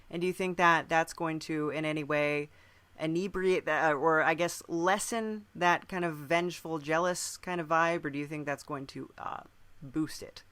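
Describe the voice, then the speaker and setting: A man interviewing casually on a podcast, halting as he searches for words to frame his questions. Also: fairly high pitch, pushed and bright, dry recording.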